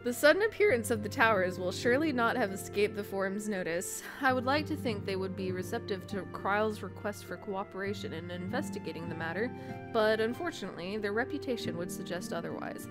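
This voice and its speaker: A woman's voice: medium pitch, expressive, a little fast, close to an everyday voice. A woman voicing earnest, thoughtful fantasy game characters as she reads their formal dialogue aloud. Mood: solemn, thoughtful. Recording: voice over loud game music.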